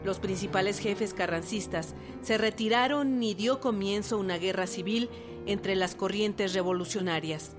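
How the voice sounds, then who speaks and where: A woman's voice: medium pitch, normal speed, music in the background. A woman delivering scripted historical narration for radio.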